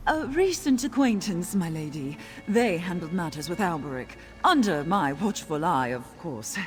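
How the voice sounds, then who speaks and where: A fussy, fawning servant in a video game, her voice rising and falling in lively flourishes. She sounds eager to please her mistress and self-important with others. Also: lowish voice, moderate pace, over loud music. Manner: obsequious, fussy. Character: fawning female noble servant.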